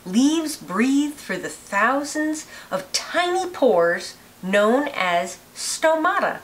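A woman with a fairly high voice reads a picture book aloud for children. Her delivery is slow and carefully phrased, with a lively rise and fall. A faint hiss sits behind her in the recording. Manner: deliberate, expressive phrasing for children. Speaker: read-aloud narrator, teacher.

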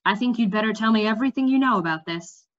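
A woman's voice: fairly high pitch, quick pace, dull audio, close to an everyday voice. A woman reading the part of an earnest, questioning young king in a fantasy storybook. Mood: concerned.

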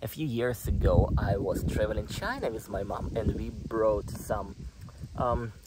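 A man in his twenties with a Russian accent, casually narrating a tour in a relaxed, chatty way with slightly hesitant phrasing. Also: fairly low pitch, measured pace, very noisy. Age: 20s. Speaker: vlogger giving a house tour. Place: outdoor garden plot. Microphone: phone at arm's length.

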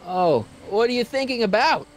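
A man's voice: very high voice, sing-song, moderate pace, a little hiss or hum. An actor playing an earnest, worried teenage boy and loyal friend in a video game, casual and hesitant. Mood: worried, urgent. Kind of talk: animated video game acting.

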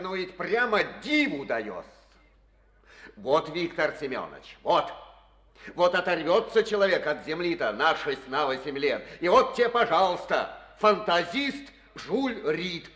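An actor playing a skeptical, sarcastic district official in a Soviet radio play, mocking grand plans. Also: male voice, on the high side, big pitch swings, unhurried, sharp tone, full character voice. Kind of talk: 1950s radio play acting.

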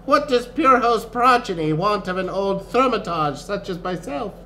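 A man's voice: sits high, lively intonation, normal speed, pushed and bright, a little hiss or hum, voice pushed up, exaggerated voice, poor audio. A man voicing a wizened, kindly old wizard with a musing, dramatic delivery in a fantasy story.

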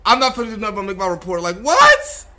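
A man's voice: sits high, wildly swooping pitch, fast talker, bright, forceful tone. An American man reacting to a game on a livestream, incredulous and profane, often repeating himself. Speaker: game streamer. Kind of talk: livestream gameplay reaction, roleplay.

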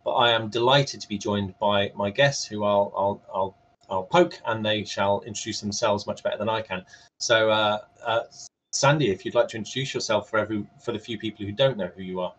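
A man with a fairly low, husky voice moderates a panel discussion. He speaks off the cuff, hesitating and repeating words. The video-call sound is slightly muffled. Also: fairly even intonation, a little fast, a bit bright.

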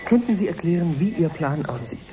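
A man's voice: a little high, animated, fairly quick, mellow, faint music behind, lightly acted. An actor playing an earnest male reporter giving a formal, explanatory report in a radio play. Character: earnest live reporter covering a scientific experiment. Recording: crowd murmur behind the voice.

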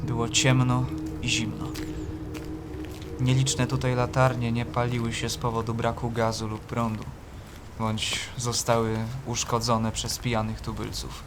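An actor playing a shaken young seminarian, narrating an audio play in an earnest, literary and troubled manner. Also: male voice, mid-range voice, completely flat delivery, measured pace, background noise, natural voice. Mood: somber. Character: shaken young male seminarian from a palace, narrating his journey. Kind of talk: dramatic first-person narration in an audio play. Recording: prominent music behind the voice.

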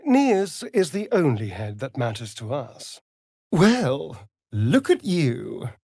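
A man's voice: mid-range voice, huge pitch swings, takes it slowly, vocal fry, mellow, husky, dry recording, clean studio sound, exaggerated voice. A male actor playing a sardonic, flirtatious vampire rogue in a video game, with an arch, mocking, theatrical drawl. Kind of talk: video game character voice lines.

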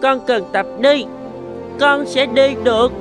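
A small cartoon boy of seven acted by a woman, earnest and determined. His young voice has clear background music under it. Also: high voice, slow pace. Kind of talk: Vietnamese cartoon voice acting.

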